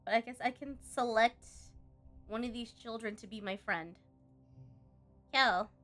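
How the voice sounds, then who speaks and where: A woman streaming a game live, chatty and expressive, reading the on-screen story aloud and tossing in playful asides. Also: fairly high pitch, a little slow, soft background music. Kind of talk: live let's-play commentary, reading game text aloud.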